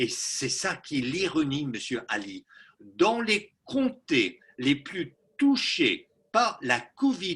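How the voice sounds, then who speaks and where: A man's voice: on the high side, pushed and bright, breathy edge. A man from Texas, a political analyst answering in a radio interview, emphatic and insistent as he drives home his points. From Texas, USA. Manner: emphatic, insistent, with slow stressed phrases.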